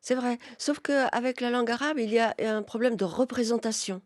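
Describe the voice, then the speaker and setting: A woman guest on a radio talk, speaking in a measured, precise and formal way. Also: on the high side, dry recording, clean studio sound. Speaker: diplomat and interpreter, studio guest. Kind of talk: radio interview answer. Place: radio studio.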